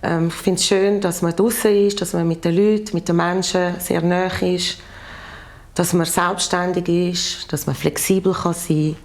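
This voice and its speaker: Swiss postal worker, woman in her forties, matter-of-fact and measured, lowish gravelly voice. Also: fairly even intonation. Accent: Swiss German. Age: 40s. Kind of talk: documentary interview.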